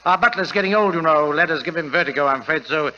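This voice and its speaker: An actor playing a genial, evasive upper-class gentleman in a 1940s radio drama, fussy and hesitant. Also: on the high side, fast. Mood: nervously genial. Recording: dull, noisy old radio recording.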